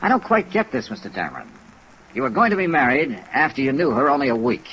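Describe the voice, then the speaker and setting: A skeptical male investigator from a 1950s radio detective show. His delivery is clipped and hard-boiled, with a very mobile mid-range pitch. Faint rain noise sits behind the old recording. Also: fast talker, poor audio. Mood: probing, skeptical. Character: brisk skeptical male insurance investigator narrating his case. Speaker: insurance investigator. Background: steady rain sounds.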